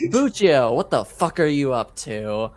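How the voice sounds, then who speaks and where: A man's voice: mid-range voice, darkish tone, a little hiss or hum. A man chatting casually as game master at a tabletop roleplaying session.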